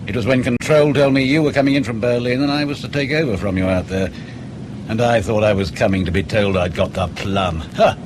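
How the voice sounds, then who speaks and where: A man's voice: mid-range voice, steady tone, brisk, noisy background, close to an everyday voice. A man playing a wary, resentful field agent in a radio drama, with a sardonic, needling way of talking. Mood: bitter, impatient. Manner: sceptical, needling. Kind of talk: naturalistic radio drama dialogue.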